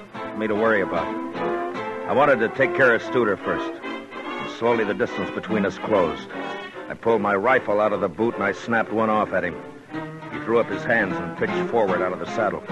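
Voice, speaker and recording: Actor as a low-voiced, grim old West marshal. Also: male voice, highs cut off, close to an everyday voice. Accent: American. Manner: measured, terse, authoritative. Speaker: lead actor and first-person narrator. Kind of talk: 1950s western radio drama acting and narration. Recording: band-limited old radio recording, music behind.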